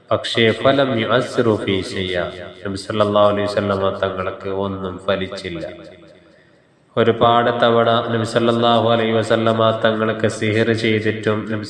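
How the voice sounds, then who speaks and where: A man preaching an Islamic sermon in a measured, instructive way, pausing phrase by phrase. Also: lowish voice. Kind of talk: religious sermon with Arabic recitation and explanation. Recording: heavy echo and long reverb.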